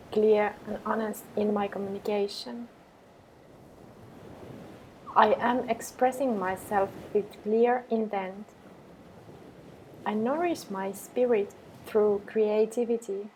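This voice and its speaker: Slow, even-voiced woman guiding meditation. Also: mid-range voice, slight background noise, rough recording. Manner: calm, unhurried, measured phrasing with pauses. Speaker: meditation and yoga teacher. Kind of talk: guided meditation with repeated affirmations.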